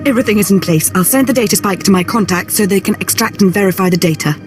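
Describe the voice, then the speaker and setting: An actress playing a greedy, flirtatious schemer in a video game, coy and eager in manner. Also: female voice, medium pitch, fast talker, close to an everyday voice. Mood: smug, seductive. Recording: game music behind the voice. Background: music.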